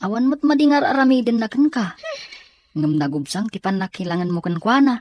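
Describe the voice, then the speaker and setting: Earnest schoolgirl peacemaker, voiced by a woman, radio drama: fairly high pitch, close dry recording. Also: brisk, darkish tone, dry recording, lo-fi sound, natural voice. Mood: reproachful. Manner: pleading, reproachful. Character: earnest younger sister, a pleading schoolgirl peacemaker. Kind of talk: Ilocano audio drama acting.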